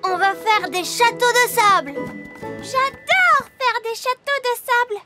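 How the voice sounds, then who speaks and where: A woman's voice: very high voice, animated, a little slow, music in the background. A woman voicing an eager, curious little fairy princess in a children's cartoon, childlike and innocent. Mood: excited. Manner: childlike, giggly, wondering.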